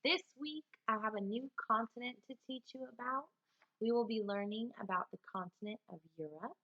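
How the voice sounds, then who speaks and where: A woman teacher speaking to young pupils and reading aloud to them in an animated, expressive way. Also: a little high, a little fast, lo-fi sound. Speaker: elementary teacher. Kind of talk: read-aloud lesson for children.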